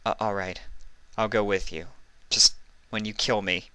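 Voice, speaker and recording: A man voicing a frightened, mouthy young female pony in a storybook reading, with shrill pleading and exclaiming. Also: fairly even intonation, measured pace, sharp tone, clear, resonant tone, dry recording. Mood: sarcastic, resigned.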